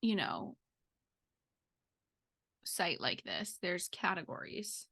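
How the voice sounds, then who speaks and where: A woman's voice: fairly low pitch, big pitch swings, slow pace, gravelly, fairly bright tone. A woman chatting casually as a podcast co-host, informal and peppering her sentences with "like". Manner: informal, heavy use of "like". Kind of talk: casual chat.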